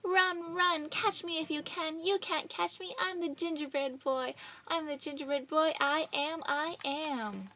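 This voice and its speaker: A woman's voice: high-pitched, fairly even intonation, measured pace, bright, forceful tone, a little hiss or hum, voice pushed up, full character voice. A woman voicing a small, cheeky gingerbread boy who taunts his chasers in a boastful sing-song. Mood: gleeful taunting.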